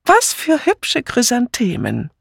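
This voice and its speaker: A man's voice: very high-pitched, huge pitch swings, slow pace, creaky, a bit bright, breathy edge, dry recording, clean studio sound, pitched above the performer's own voice, heavily acted. A man voicing a cheery, flustered middle-aged widow who hesitates as she talks, in an audiobook. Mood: chirpy. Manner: cheery then awkward, hesitant.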